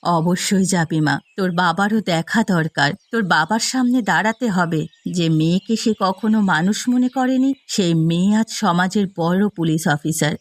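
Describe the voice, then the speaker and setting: A woman voicing a gentle, long-suffering middle-aged village mother in a cartoon fairy tale. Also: fairly low pitch, moderate pace, dry recording, lightly acted. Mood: tender, encouraging.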